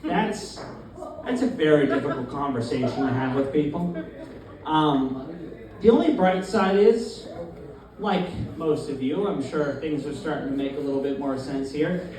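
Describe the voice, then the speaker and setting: First-timer comic, young man, slightly high voice, deadpan and halting, roomy distant club sound with a crowd. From Newfoundland, Canada. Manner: deadpan, halting, with many fillers. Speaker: stand-up comedian, first set. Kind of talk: open-mic stand-up comedy. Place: small comedy club, in front of a live crowd. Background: audience laughter.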